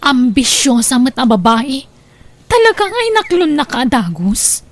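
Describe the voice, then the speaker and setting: A woman's voice: high voice, sing-song, unhurried, faint music behind, heavily acted. A woman acting a jealous, conniving young girlfriend in an audio drama, snide and scornful.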